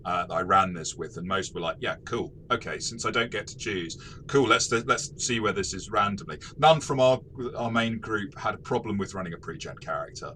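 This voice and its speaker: A man with a fairly low but bright, forward voice discusses a tabletop game casually. He explains things reflectively, feeling his way through the phrasing. There is faint noise behind him. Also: lively intonation, fairly quick, few pauses. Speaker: tabletop game reviewer and game master.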